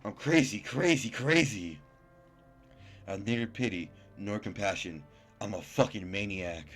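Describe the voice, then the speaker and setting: A man's voice: on the low side, measured pace, fairly bright tone, faint music behind. A man voicing a tormented, self-loathing young psychopath from a visual novel, intense and emphatic. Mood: anguished, frantic. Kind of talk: dramatic read-aloud of visual novel dialogue.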